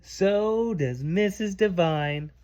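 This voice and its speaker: A man's voice: high-pitched, slow pace, darkish tone. A man reading a children's storybook aloud to a child, playful and theatrical, stopping to explain words simply.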